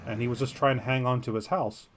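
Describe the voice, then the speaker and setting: A man's voice: medium pitch, fairly even intonation, fast, slight background noise. Man reading a story, jokey asides. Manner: jokey, easily sidetracked, self-deprecating. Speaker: podcast co-host. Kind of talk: reading a news story aloud with casual asides.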